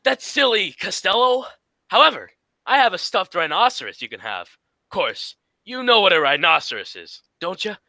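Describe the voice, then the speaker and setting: A woman's voice: medium pitch, very animated, normal speed, creaky, sharp tone, dry recording, exaggerated voice. A woman playing a blustery, exasperated male straight man in an old-time radio comedy, scolding and repeating phrases emphatically. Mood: bossy.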